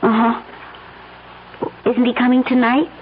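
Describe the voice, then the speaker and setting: An actress playing a concerned, affectionate, slightly naive wife in a 1970s radio drama. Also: female voice, fairly high pitch, level tone, a little slow, close to an everyday voice. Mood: concerned, gentle. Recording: muffled, hissy old radio recording.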